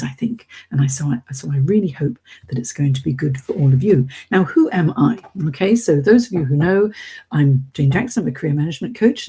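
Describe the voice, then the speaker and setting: A woman's voice: low-pitched, dark tone, breathy edge. A middle-aged woman with a British accent, hosting an informal live coaching session and speaking directly and encouragingly to her listeners. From Hong Kong, as a British expatriate. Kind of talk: informal live-stream coaching talk to an audience.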